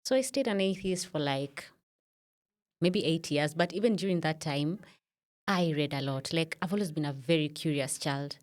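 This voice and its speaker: A woman's voice: low voice, moderate pace, a little mellow. A Kenyan woman around thirty, a podcast guest in a studio, telling her story in a reflective way with many fillers. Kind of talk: casual interview talk.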